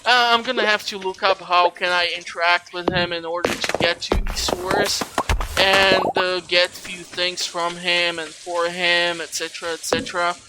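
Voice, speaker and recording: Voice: medium pitch, flat delivery, normal speed, very noisy. A person giving casual let's play commentary, chatty and rambling, with some mild swearing. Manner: chatty, rambling, mildly profane. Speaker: gaming video host. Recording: loud game music behind the voice. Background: video game music.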